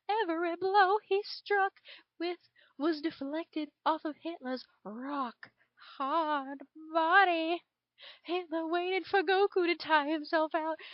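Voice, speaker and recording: A very high-pitched Southern belle dragon performed by a woman tells a story with theatrical, drawn-out emphasis. The recording is dry and somewhat dull, with a homemade lo-fi finish. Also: no echo. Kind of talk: dramatic fan fiction reading.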